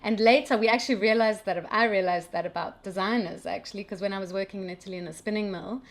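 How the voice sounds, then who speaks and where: A woman's voice: medium pitch, fairly even intonation, fast talker. South African woman explaining textile engineering. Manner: conversational, technical, frequent restarts. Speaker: brand founder. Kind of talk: casual interview.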